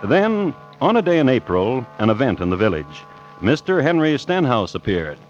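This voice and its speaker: An actor playing a dry, deliberate crime connoisseur who hosts and narrates an old-time radio show. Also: male voice, medium pitch, very animated, soft background music, close to an everyday voice. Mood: dry storytelling. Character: dry, deliberate male crime connoisseur telling murder tales. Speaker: host and narrator. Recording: dull vintage radio recording.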